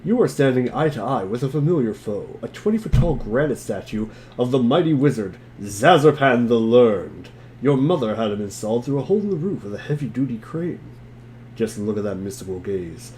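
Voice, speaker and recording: A man's voice: medium pitch, lively intonation, fairly quick, a little mellow, low-quality recording. A man giving a theatrical reading of narration, his throat a bit strained. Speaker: reader in a group dramatic reading. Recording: faint background noise, room ambience.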